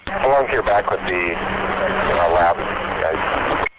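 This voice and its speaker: A man's voice: sits low, animated, very slow. Man on very noisy police radio. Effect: two-way police radio. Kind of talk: terse radio traffic with unit numbers and codes.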